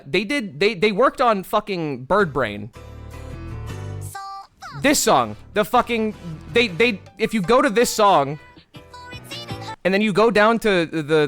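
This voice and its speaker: A man giving casual let's-play commentary in an excitable way, full of profanity and laughing asides. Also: high voice. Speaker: game streamer.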